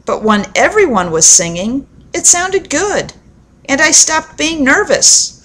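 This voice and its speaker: Woman reading as an earnest, unhurried young boy. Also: high-pitched, expressive, a bit bright, pitched above the performer's own voice. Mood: earnest, admitting. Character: young boy, candid, a little proud.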